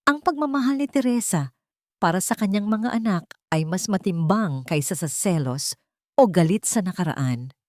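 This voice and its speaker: A woman narrating a scripted dramatic story in a solemn, deliberate storytelling manner. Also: mid-range voice, expressive, a little mellow, no room sound, clean studio sound. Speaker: audiobook narrator.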